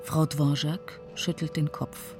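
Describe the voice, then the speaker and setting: An actor playing a matter-of-fact narrator who tersely reads stage directions in a German radio drama. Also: male voice, a little high, flat delivery, slow pace, music in the background. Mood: neutral. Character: neutral terse narrator announcing scenes and actions.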